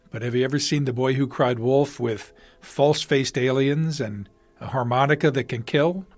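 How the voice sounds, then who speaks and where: Male voice, medium pitch, prepared review commentary, listener contribution, faint music. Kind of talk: prepared spoken commentary on television episodes.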